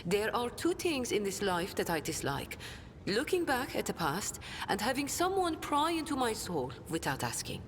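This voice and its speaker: An actress playing a sly, sardonic smuggler in a video game, with a theatrical, mocking, flowery delivery. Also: female voice, mid-range voice, sing-song, moderate pace, long reverb tail, a little hiss or hum, lightly acted. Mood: sly, defensive.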